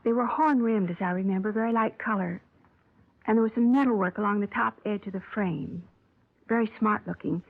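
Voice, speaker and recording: An actress playing a cooperative shop clerk witness, recalling details hesitantly, in a 1950s radio drama. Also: female voice, medium pitch, fairly quick, lightly acted. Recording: tinny old radio broadcast.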